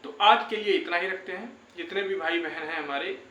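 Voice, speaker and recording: High-pitched young man, echoey room. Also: fairly quick, bright, forceful tone, slight background noise. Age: young adult. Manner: reassuring, repeats phrases. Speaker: YouTube exam-update presenter. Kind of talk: informal motivational update talk to viewers. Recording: echoey, roomy sound.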